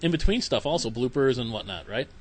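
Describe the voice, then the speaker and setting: A man's voice: medium pitch, lively intonation, a little fast. A man hosting a podcast interview, casual and chatty with loose phrasing. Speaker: podcast host.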